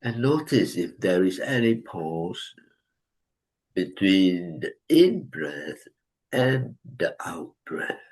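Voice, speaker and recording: A man guides a breathing meditation in a somewhat low voice. He speaks very slowly and calmly, leaving long pauses between short instructions. He gently corrects posture and points attention back to the breath. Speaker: meditation teacher.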